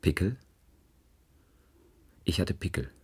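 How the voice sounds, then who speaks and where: An actor playing an earnest, shy, excitable young space researcher in a German science fiction radio play, speaking hesitantly. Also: male voice, low voice, slow pace, husky, exaggerated voice. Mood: shy, confiding. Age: young adult.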